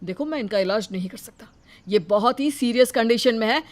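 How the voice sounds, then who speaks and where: A woman's voice: on the high side, fairly quick, lightly acted. A woman voicing a serious male doctor who reproaches the family in an earnest manner in a cartoon. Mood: reproachful.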